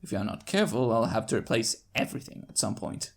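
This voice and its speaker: A woman's voice: rumbling low, big pitch swings, fast, creaky, exaggerated voice. A woman voicing a mild, thoughtful pony clockmaker who speaks politely and explains things precisely in a story reading. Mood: explaining. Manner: polite, explanatory, slightly pedantic.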